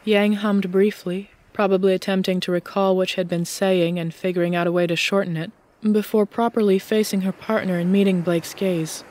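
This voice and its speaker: Woman narrating an audiobook, medium pitch. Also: level tone, moderate pace, a little mellow, no echo. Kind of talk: scripted story narration.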